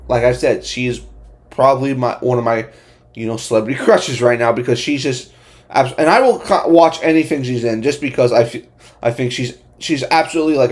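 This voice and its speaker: Man giving a halting, opinionated movie review. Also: medium pitch. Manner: halting and repetitive, often restarting phrases; opinionated, blunt. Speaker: host of a movie review channel. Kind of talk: casual spoken movie review.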